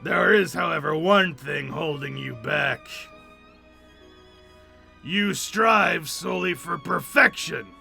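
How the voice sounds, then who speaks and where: A man voicing a solemn, wise guild mentor, delivering game dialogue in a slow, emphatic, declamatory manner. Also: on the high side, vocal fry, pushed and bright, faint music behind, exaggerated voice. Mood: solemn, booming. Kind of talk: game dialogue read aloud.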